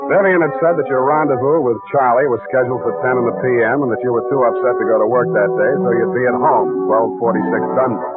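An actor playing a cynical hard-boiled private detective, dry and wisecracking, in 1940s radio noir style. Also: male voice, medium pitch, fairly even intonation, fast talker, hardly any pauses, lightly acted. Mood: wry narrating. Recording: tinny 1940s radio recording with music.

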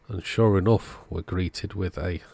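Male repair-channel host, low creaky voice, casual step-by-step narration, dry close sound. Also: fairly even intonation, unhurried, warm tone, no room sound.

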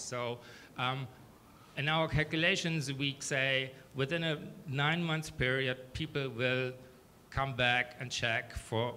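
A man in his thirties with a German accent, pitching his startup on stage with a handheld mic and answering questions in a small event room. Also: slight background noise. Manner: earnest, steady, many "um"s.

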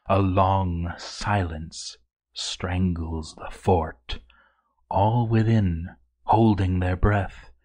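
A man narrating a dark fantasy story in a theatrical, ominous manner with deliberate phrasing. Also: medium pitch. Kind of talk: dramatic scripted story narration.

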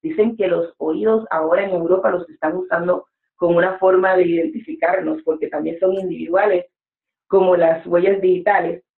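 A woman's voice: fairly low pitch, steady tone, moderate pace, vocal fry. A woman in her forties, a preacher speaking as an interview guest, earnest and exhorting, talking from a room at home over a webcam call. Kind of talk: motivational interview talk. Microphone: webcam on a video call. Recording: echoey room, phone-like sound.

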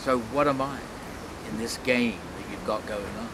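A man, telling his story reflectively and deliberately, with frequent pauses, in an outdoor interview. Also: medium pitch, very noisy. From London, England. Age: 60s. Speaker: world traveler being interviewed. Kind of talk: reflective personal storytelling to camera. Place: outdoors in a leafy city park.

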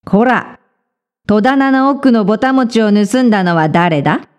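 A stern old temple priest from a storybook, acted out by a woman. He scolds pompously in old-fashioned speech, slow and weighty. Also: on the high side, natural voice. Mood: angry.

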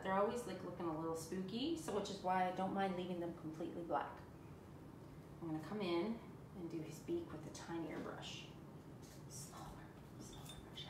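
Chatty woman teaching painting, echoey room. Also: medium pitch. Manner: chatty and encouraging, talks to viewers while working. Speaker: furniture painting instructor. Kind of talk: live-streamed painting tutorial. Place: room indoors, seated on floor by a cabinet. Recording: echoey room with background noise.

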